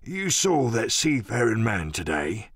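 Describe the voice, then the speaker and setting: Gravelly, cantankerous old captain. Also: male voice, on the low side, sing-song, a little slow, no echo, clean studio sound, heavily acted. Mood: weak, urgent. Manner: rambling, salty seafaring talk, cursing. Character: sick, rum-craving old pirate sea captain, cantankerous and pleading. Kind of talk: audiobook radio-play character acting.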